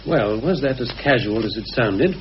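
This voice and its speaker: British radio-play conspirator, played by a male actor: grave, careful, hesitant, medium-pitched, on a hissy old recording. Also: lively intonation, normal speed, lo-fi sound, close to an everyday voice. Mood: grave, uneasy. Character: anxious, cautious male conspirator confiding a grave suspicion.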